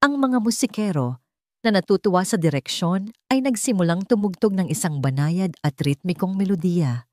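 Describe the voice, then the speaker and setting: A woman's voice: on the low side, animated, normal speed, dark tone. A woman with a Filipino accent reading a scripted, dramatic story as an audiobook narrator.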